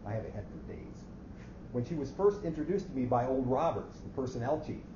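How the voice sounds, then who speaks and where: A man's voice: mid-range voice, sing-song, a little fast, mellow, close to an everyday voice. A man playing a fussy, precise office clerk who coolly plots murder, speaking formally in a staged story reading. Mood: indignant, precise. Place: echoey hall at a live reading. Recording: muffled, noisy, echoey hall recording.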